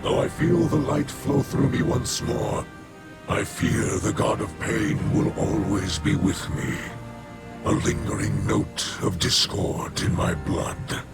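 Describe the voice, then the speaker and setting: An actor playing a weary, solemn four-armed alien king in a game, stately and formal in his speech. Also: lowish voice. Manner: stately, measured. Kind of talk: formal, grave game dialogue. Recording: loud music under the voice. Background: music.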